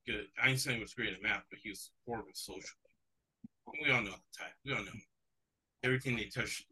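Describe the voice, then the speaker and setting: Man, non-native English, bright voice, rambling casual sports chat, podcast host. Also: fairly low pitch, slightly rough, rough recording. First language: not English. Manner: rambling, digressive, chuckles often. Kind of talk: casual betting talk.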